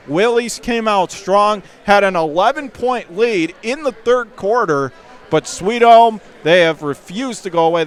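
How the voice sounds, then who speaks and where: A man doing live radio play-by-play of a high school basketball game from the gym, emphatically restating the score and clock. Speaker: play-by-play sports announcer.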